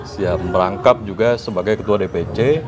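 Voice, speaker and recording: A man hosting a talk show interview, brisk and quick to affirm with short interjections. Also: fairly low pitch.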